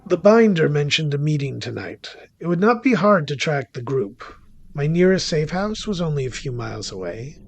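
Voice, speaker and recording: An actor playing a wry, secretive male witch who narrates a horror-thriller audio drama in a theatrical, deliberate manner. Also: a little high, big pitch swings. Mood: resolved, wry. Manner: wry, theatrical, deliberate, with sudden swells and drops. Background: music under the voice.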